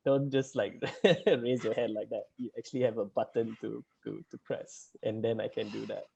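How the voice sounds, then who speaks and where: A man's voice: on the low side, level tone, normal speed, vocal fry, warm tone, breathy edge. A man hosting an online townhall for students, chatty and rambling, laughing often and using lots of fillers. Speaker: host. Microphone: video call. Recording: muffled video call audio.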